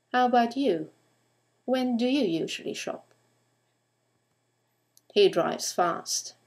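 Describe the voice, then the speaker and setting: A woman's voice: medium pitch, gravelly, darkish tone. A woman teacher narrating a scripted grammar lesson, enunciating carefully and putting questions to the listener.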